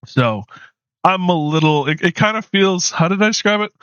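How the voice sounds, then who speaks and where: A man with a fairly high voice, slightly hoarse and tired, chats loosely to his viewers, wandering through his thoughts with plenty of ums and uhs. The sound is dry and a little dull, like a home streaming mic with no room around it. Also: animated, moderate pace, a bit bright, dry recording. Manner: low-key, rambling with frequent ums and uhs. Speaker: game streamer. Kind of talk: casual live-stream chat.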